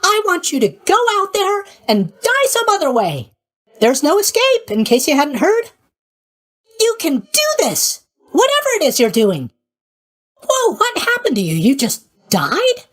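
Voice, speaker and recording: Boyish sleepy god, video game character, very high voice, hugely animated intonation, chirpy, naive, tactless. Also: male voice, unhurried, heavily acted. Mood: mock sympathy. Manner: bubbly, naive, scatterbrained, casually tactless.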